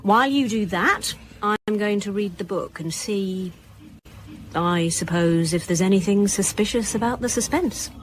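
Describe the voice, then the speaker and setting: A woman playing a wry, brisk police inspector in a naturalistic radio drama, with a dry manner. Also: medium pitch, lightly acted. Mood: brisk, businesslike. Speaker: actor in an audio drama.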